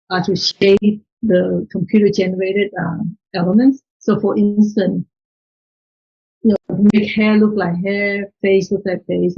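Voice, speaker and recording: Woman guest with a Chinese accent, husky and gravelly, easygoing explainer. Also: medium pitch, muffled recording. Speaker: interview guest. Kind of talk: conversational interview answers.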